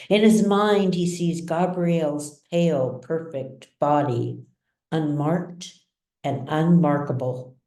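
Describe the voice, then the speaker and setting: A woman author reading her novel as dramatic literary narration, with measured, deliberate phrasing. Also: lowish voice, warm tone. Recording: roomy echo, distant mic.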